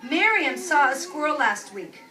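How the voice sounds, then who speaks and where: A woman preschool teacher reading aloud to young children, using simple instructive phrasing, repeating key words and pausing for questions and asides. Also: high voice, very animated. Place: indoor classroom with young children. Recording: echoey room, distant mic, noisy background.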